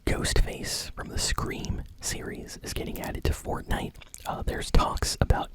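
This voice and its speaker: A man whispering a gaming news roundup as an ASMR host, with frequent fillers and repeated words. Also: measured pace.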